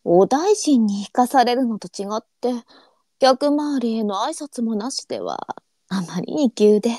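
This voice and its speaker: A woman playing a tender-hearted, melancholy courtesan of old Japan in a live voice drama, speaking softly and demurely in courtesan dialect. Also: on the high side, sing-song, measured pace, no room sound, clean studio sound. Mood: wistful, lonely. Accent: courtesan arinsu dialect. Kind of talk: online live voice drama acting.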